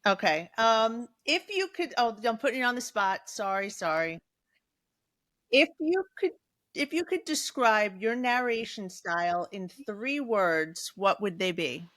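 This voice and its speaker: A woman's voice: fairly high pitch, expressive, measured pace, a bit bright, no echo. A woman co-hosting a podcast interview, speaking in an informal, agreeable, chatty way. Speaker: podcast co-host. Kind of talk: casual interview chat.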